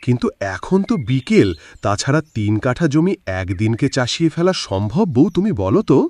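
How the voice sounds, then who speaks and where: A man voicing a lazy, sleepy, good-natured farmer in a cartoon moral story, with whiny, drawn-out exclamations. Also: mid-range voice, big pitch swings, darkish tone, no echo, studio quality, close to an everyday voice. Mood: protesting. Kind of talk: animated moral story voice acting.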